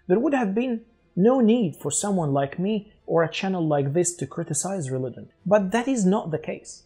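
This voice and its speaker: A man in his forties from Egypt, Arabic native, speaking English with an Egyptian accent, host of his own channel, delivering an earnest, measured talk to camera on a lapel mic in a studio. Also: high voice, creaky, warm tone, slightly rough. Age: 40s. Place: studio with dark backdrop.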